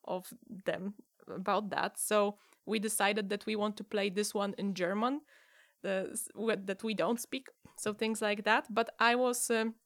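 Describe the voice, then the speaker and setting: A woman from Poland with a Polish accent, a guest chatting casually on a podcast and telling stories with lively restarts. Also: medium pitch, measured pace, no room sound, studio quality. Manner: lively storytelling with many restarts. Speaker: guest improviser.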